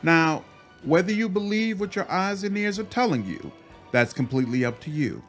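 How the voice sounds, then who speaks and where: Troubled spy read by a man, blunt and folksy, scolding in lively swings, game dialogue. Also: high voice, moderate pace, soft background music, pitched above the performer's own voice. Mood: urgent warning. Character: blunt, troubled female spy warning her commander.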